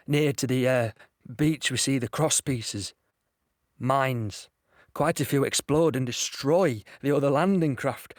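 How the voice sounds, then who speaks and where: A man playing a young soldier in an audio play, telling his war story in a conversational, excitable, unbothered way. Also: mid-range voice, dry recording, clean studio sound, natural voice. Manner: conversational, storytelling with repeated "you know". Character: young British soldier recounting D-Day, excited, chatty and unbothered. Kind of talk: dramatic first-person monologue in audio play.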